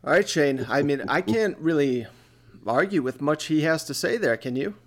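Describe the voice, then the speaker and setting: A man's voice: a little high, animated, normal speed. A man hosting a sports podcast, talking casually with a co-host.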